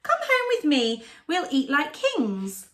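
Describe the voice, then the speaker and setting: A woman's voice: high voice, huge pitch swings, takes it slowly, a bit bright, voice pushed up, heavily acted. Woman doing a bold, showy town mouse. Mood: boastful.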